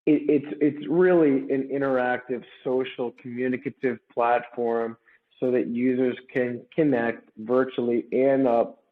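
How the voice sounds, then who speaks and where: Man on a thin, phone-like call, explaining. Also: mid-range voice. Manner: talkative, checks in with tag questions. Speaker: startup founder being interviewed. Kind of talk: conversational interview, explaining and pitching. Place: remote call. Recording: muffled, phone-like remote call audio.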